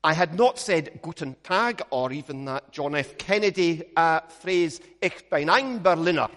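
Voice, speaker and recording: A Scottish man, a minister, leads a prayer and then preaches, telling stories with a measured pace and gentle humor. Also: a little high, expressive, in a large hall. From Scotland. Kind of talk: sermon with opening prayer.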